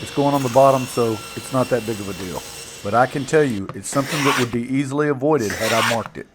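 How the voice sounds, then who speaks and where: Guy doing a laid-back diy build voiceover, mid pitch, kinda self-deprecating, tool noise behind him. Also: fast talker. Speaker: woodworking video maker and narrator. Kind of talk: casual first-person build narration.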